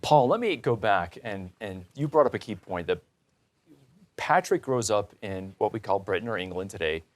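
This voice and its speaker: A man with a fairly low voice hosts a conversational talk show. He speaks with emphasis and clear enthusiasm, as if explaining things directly to his audience. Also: expressive, moderate pace.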